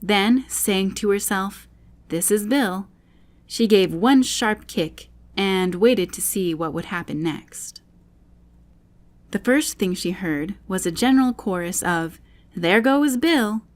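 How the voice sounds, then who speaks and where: A woman narrating a children's classic as an audiobook reader, with expressive storybook delivery. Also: medium pitch, slow pace.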